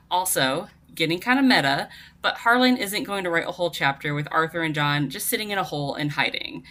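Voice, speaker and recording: A woman's voice: sits low, pushed and bright. A woman giving prepared commentary in a listener voice message, earnest and articulate.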